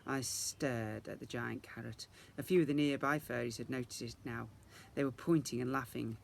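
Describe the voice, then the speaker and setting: A man reading a children's story aloud in the expressive style of a teacher reading to young children. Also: medium pitch, moderate pace, vocal fry, slight background noise.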